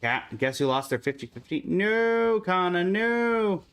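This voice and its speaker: A man's voice: high voice, animated, a little slow, fairly bright tone, clean tone. A man livestreaming a game, chatting casually and in a friendly way with viewers while commenting on his play.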